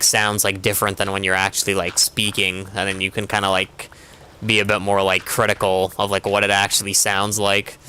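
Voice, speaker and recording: A man's voice: fairly low pitch, bright, forceful tone, smooth. A young man streaming a game, chatting casually in a rambling way with constant filler words. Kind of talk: casual chat while gaming.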